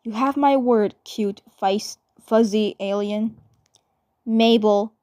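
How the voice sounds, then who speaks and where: A woman doing character roleplay for a fan dub, halting and stammering, often repeating her phrases. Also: medium pitch, warm tone, dry recording, studio quality.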